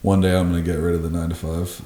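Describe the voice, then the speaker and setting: A man's voice: low voice, little pitch movement, rapid-fire, dark tone. A man co-hosting a podcast in easygoing, reflective casual chat, with frequent fillers. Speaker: podcast co-host. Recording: echoey room with faint noise.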